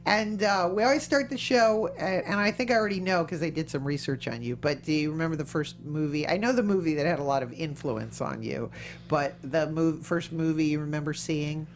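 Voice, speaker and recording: A woman hosting a casual interview podcast, chatty and excitable, often jumping from one thought to the next. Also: low-pitched, a little fast, slight background noise. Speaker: podcast host.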